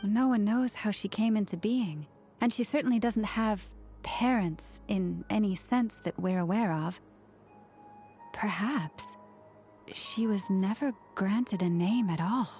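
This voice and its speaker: An actress playing a calm, reflective woman in video game dialogue. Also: medium pitch, measured pace, faint music behind, natural voice. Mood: pensive.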